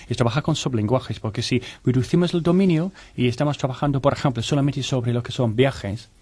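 A man's voice: mid-range voice, a little mellow, no room sound. Explanatory man, self-correcting as he goes. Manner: explanatory, restarts words often. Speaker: director of a technology innovation centre. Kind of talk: round-table discussion. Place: studio table with other guests.

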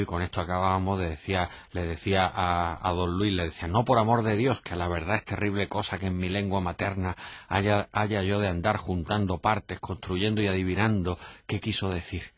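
A man giving an unscripted literature lecture on radio as a professor, speaking haltingly and didactically with frequent repetitions. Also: low-pitched. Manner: hesitant, halting, self-repeating, didactic. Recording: muffled, echoey lo-fi radio recording.

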